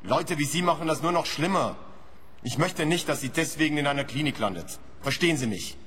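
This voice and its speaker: A man's voice: a little high, animated, brisk, a bit bright, faint music behind. A defensive man in cavernous reverb. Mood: indignant. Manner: indignant, guarded, insistent. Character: defensive, irritated man hounded by a detective. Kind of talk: radio play acting. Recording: long reverberant space, faint noise.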